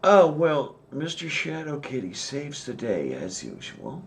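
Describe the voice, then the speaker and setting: A man talks in an unhurried, easygoing conversation, pausing often and filling the gaps with "um" while he searches for words. His pace is very slow and his voice sits at a middle pitch, with no rush to any of it. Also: wildly swooping pitch, gravelly, slight background noise. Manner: slow, hesitant, many "um"s and pauses. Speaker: podcast co-host. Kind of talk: casual chat.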